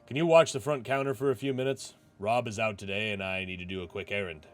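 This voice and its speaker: A man's voice: fairly low pitch, fairly even intonation, quick pace, natural voice. A man voicing a friendly, courteous and chatty male shop boss in a visual novel. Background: game music.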